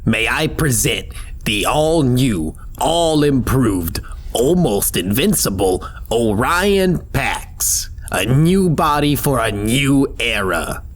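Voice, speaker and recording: A man's voice: mid-range voice, sing-song, slow pace. A man playing a wry robot inventor with a flippant, showman-like manner in a sci-fi audio drama. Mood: proud, playful. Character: chirpy, wry male robot inventor showing off his work.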